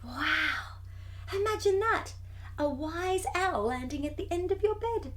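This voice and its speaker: A woman hosting a storytime, reading a picture book aloud to young children in an expressive, wonder-filled way. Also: very high voice, a little slow. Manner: wide-eyed wonder, exclamations and gasps between passages.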